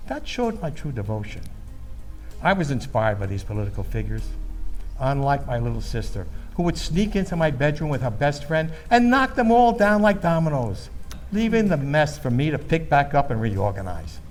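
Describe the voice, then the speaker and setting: An actor playing an earnest, patriotic baby-boomer storyteller in an emphatic, solemn stage monologue. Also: male voice, big pitch swings, normal speed, soft background music. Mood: earnest, reflective.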